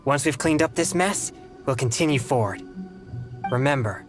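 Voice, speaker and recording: A man playing an earnest, polite teenage boy exorcist in a video game, speaking in a formal, measured way. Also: medium pitch, animated, over music, close to an everyday voice. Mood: serious, focused.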